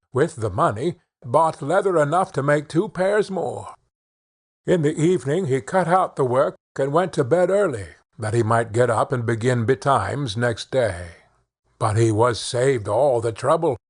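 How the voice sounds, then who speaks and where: A man narrating a children's fairy tale in an expressive, measured storytelling manner, with a slightly husky voice. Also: medium pitch. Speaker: audiobook narrator.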